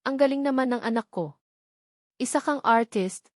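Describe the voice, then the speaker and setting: A woman's voice: sits high, normal speed, a little mellow, dry recording, pitched above the performer's own voice, natural voice. Filipino woman doing a protective rich dad in a story, firm n earnest, dry sound. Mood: loving, proud. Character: devoted, protective rich young father, firm and authoritative.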